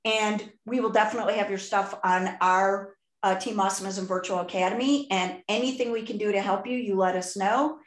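A woman in her sixties with a Chicago accent, hosting a podcast interview in a chatty, animated way. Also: mid-range voice, fairly quick, hardly any pauses, reverberant. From Chicago, USA. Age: 60s. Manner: chatty, animated, laughs often. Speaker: podcast host. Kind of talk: casual interview chat.

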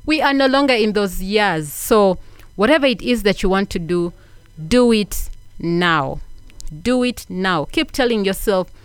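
A woman's voice: medium pitch, expressive, unhurried, clear, resonant tone, no echo. A woman giving an earnest motivational talk, repeating points for emphasis and asking the listener questions. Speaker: self-help speaker.

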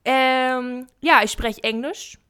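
A woman's voice: high voice, slow pace, pushed and bright, clear, resonant tone. A young woman of eighteen from Germany, chatting casually as a podcast co-host, with lots of fillers and giggles. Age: young adult, 18. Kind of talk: casual chat.

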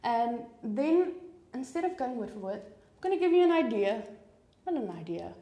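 A woman's voice: fairly high pitch, sing-song, normal speed, dark tone, roomy sound. A woman from South Africa whose first language is Afrikaans, hosting a true crime podcast in a chatty, informal way with rambling asides and a South African accent. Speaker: podcast host. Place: home room with papers on desk.

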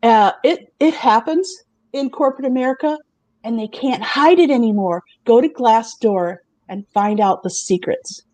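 A middle-aged woman hosting a casual talk show, chatty and quick to laugh as she presents remote job openings and asks the others questions. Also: fairly high pitch, expressive, darkish tone, dry recording.

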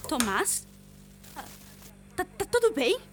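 A woman's voice: high voice, sing-song, takes it slowly, fairly bright tone, shouting, faint music behind, full character voice. A woman playing a worried, insistent young woman in an audio drama, concerned and challenging, with a Brazilian accent.

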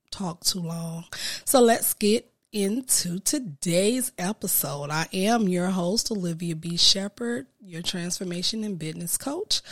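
A woman hosting a casual solo podcast, sounding congested and a bit nasal from a cold, talking slowly and earnestly with frequent ums. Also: fairly low pitch, no echo, clean studio sound. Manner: slow, earnest, with frequent ums and soft asides. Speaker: podcast host and business coach.

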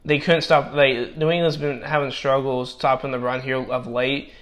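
Young male commentator, mid-pitch, voice going hoarse, halting and full of fillers, casual draft breakdown. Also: level tone, a bit bright. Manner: halting, many fillers and restarts. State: losing his voice. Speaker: football draft analyst. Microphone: personal microphone.

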